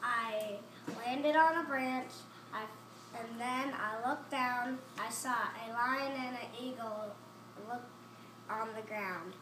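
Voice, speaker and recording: A woman haltingly improvising rhymes for a family puppet show, with relatives chattering and laughing around her. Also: on the high side, noisy background. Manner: halting, makes up lines as she goes. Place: indoor family gathering. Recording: echoey room from far off, loud crowd.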